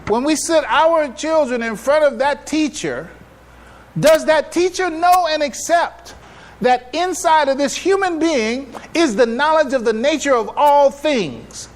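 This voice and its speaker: A man giving a religious public address as an imam, teaching with emphatic rhetorical questions, repetitions and deliberate, halting phrasing. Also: very high voice, expressive. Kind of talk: religious lecture, teaching to an audience.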